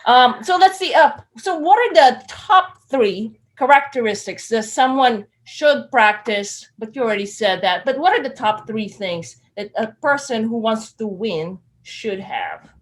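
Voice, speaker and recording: This is a woman hosting a live-streamed interview, and her voice sits a little high. She sounds effusive and excited. She cheers her guest on and talks directly to the people watching. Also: measured pace, hardly any pauses. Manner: enthusiastic, effusive. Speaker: interview host.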